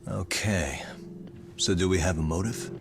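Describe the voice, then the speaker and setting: A man playing a calm, casual private detective in conversational video game cutscene dialogue. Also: low-pitched, a little slow, creaky. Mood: inquisitive. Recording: game music under the voice. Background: music.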